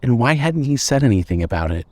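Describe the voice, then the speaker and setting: A man's voice: medium pitch, big pitch swings, fast, darkish tone, no room sound. A man reading scripted fiction as the narrator of an audio story.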